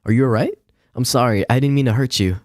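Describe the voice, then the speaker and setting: A man's voice: medium pitch, quick pace, a little mellow, pitched above the performer's own voice, lightly acted. A man voicing an anxious, apologetic young woman in a visual novel, speaking with earnest formality. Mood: worried, apologetic. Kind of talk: acted visual novel dialogue.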